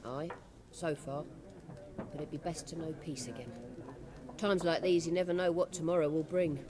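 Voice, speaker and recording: A woman's voice: deep voice, fairly even intonation, normal speed, crowd noise, lightly acted. Woman acting a plain-spoken village innkeeper. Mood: wary, obliging. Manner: folksy, earnest. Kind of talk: video game dialogue acting.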